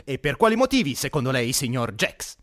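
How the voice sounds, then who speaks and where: A man's voice: medium pitch, big pitch swings, a little fast, fairly bright tone. An actor playing an eager male radio interviewer in a sketch, with an exaggerated presenter delivery. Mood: eager, inquisitive.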